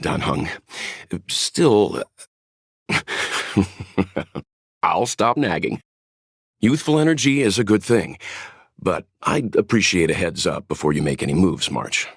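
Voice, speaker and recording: An actor playing a calm, kindly, seasoned space traveler and mentor in a video game, speaking in a measured, reflective way. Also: male voice, sits low, husky, dry recording, clean studio sound, heavily acted. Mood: warm encouragement. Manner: measured, reflective, gently avuncular. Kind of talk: scripted game character voice lines.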